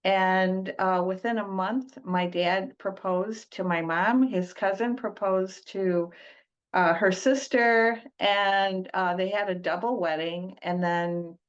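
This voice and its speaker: An older woman from Detroit, a guest in an interview, talking in a slow, halting way with many fillers and restarts. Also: medium pitch, steady tone, clean tone. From Detroit, United States. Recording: dull lo-fi audio.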